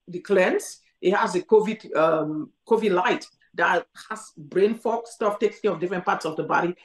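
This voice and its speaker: Insistent woman, echoey room. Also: lowish voice. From Cameroon. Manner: emphatic, insistent, repeats her urgings. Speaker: doctor answering callers. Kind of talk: call-in advice.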